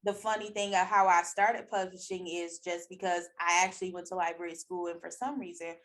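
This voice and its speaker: A woman hosting a casual interview, chatty and relaxed, often repeating words as she goes. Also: lowish voice, fairly quick. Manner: chatty, repeats words, laughs while talking. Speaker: host.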